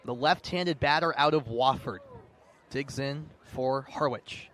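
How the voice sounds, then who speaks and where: A man's voice: mid-range voice, slow, a bit bright, a faint crowd. A man doing live radio baseball play-by-play, in clipped, descriptive phrases. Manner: clipped, descriptive calling that swells on big plays. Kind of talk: live radio sports commentary. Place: outdoor ballpark broadcast position. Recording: outdoor ballpark ambience behind voice.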